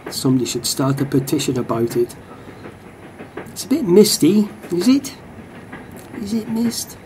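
A man's voice: dark tone, lightly acted, low-quality recording. Man acting a dry, grumbling passenger. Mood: musing. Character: dry, grumbling male football excursion passenger. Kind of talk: radio play monologue. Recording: train rumble behind the voice.

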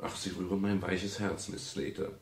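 An actor playing a wry, world-weary private detective in a 1970s German radio crime drama, speaking in a dry, measured and polite way. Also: male voice, sits low, level tone, slightly rough, no room sound. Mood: dryly ironic. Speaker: lead actor and first-person narrator. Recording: 1970s radio play with music.

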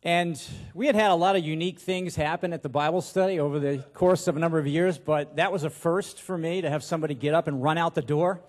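A man's voice: a little high, fast, few pauses. A man in his sixties, a pastor, giving an informal sermon in a hesitant, self-deprecating, folksy way into a handheld microphone in a church auditorium. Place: large church auditorium, on stage at a pulpit.

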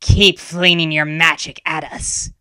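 Storybook villain: growling tiger spirit, smug and threatening, played by a woman with crisp, bright edge. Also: sits low, little pitch movement, a little slow, no echo. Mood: menacing.

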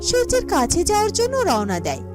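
A woman's voice: extremely high pitch, huge pitch swings, fast talker. A woman narrator reading a scripted fairytale for a cartoon. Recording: music behind the voice.

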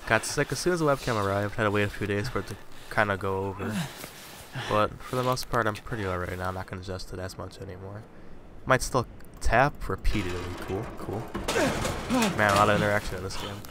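A man's voice: lowish voice, a little fast, bright, forceful tone, a little hiss or hum. A man playing a horror game, swearing and muttering casual asides, still slightly congested from a cold. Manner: profane, jumpy, muttering asides. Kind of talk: casual commentary.

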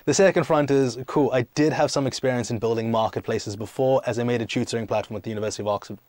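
Young male startup CEO as podcast guest, medium pitch, emphatic explainer, conversational, dry recording. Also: fairly even intonation, quick pace, a little mellow, no room sound. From South Africa and Greece. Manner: emphatic, explanatory, poses and answers own questions. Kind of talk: conversational interview answers.

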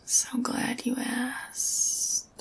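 A woman playing a tender, adoring girlfriend in an ASMR roleplay, whispering softly and breathily with slow, intimate delivery. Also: fairly high pitch, monotone, gravelly, full character voice. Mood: adoring, playful. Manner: slow, intimate, lingering delivery with soft hums. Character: tender, adoring girlfriend reassuring her partner.

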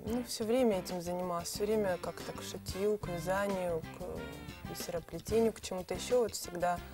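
A young woman, a fashion designer guest, answering interview questions in an unhurried, hesitant way with fillers, in a TV studio. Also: lowish voice, music in the background. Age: young adult.

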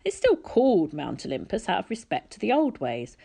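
A woman voicing a calm, wise older male teacher in a storybook, measured and tactful. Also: mid-range voice, gravelly, full character voice. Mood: explaining.

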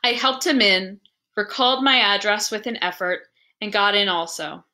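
A woman reading a literary story aloud with expressive, dramatic and deliberate phrasing. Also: medium pitch.

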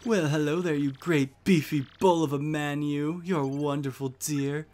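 A man's voice: on the high side, steady tone, a little slow, darkish tone, close to an everyday voice. A man voicing a haughty, flirtatious lady from a video game with a drawling, condescending manner. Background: game music.

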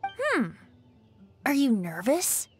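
A woman's voice: high voice, wildly swooping pitch, slow pace, faint music behind, heavily acted. A woman voicing a playful, teasing young male bard in a game, whimsical and theatrical in delivery. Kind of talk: English game cutscene acting.

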